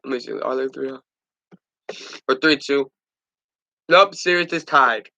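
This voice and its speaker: A man's voice: mid-range voice, a little slow, bright, forceful tone, a little hiss or hum, low-quality recording. A young man hosting a casual sports talk stream, stuffed up from allergies, rambling with stumbles and restarts.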